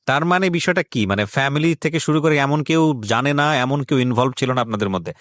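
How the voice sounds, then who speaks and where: Fast-talking Bangladeshi man hosting radio. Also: medium pitch, animated, fairly bright tone, no room sound, clean studio sound. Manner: emphatic, repeats phrases for stress; mixes English phrases into Bengali. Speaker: radio show host. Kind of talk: conversational interviewing with reflective social commentary. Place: radio studio.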